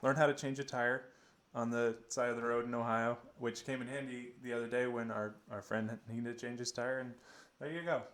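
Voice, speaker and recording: A man's voice: medium pitch, fairly even intonation, a little fast, a bit bright. A man in his twenties co-hosting a podcast in a casual, conversational way. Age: 20s. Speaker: podcast co-host. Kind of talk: casual chat.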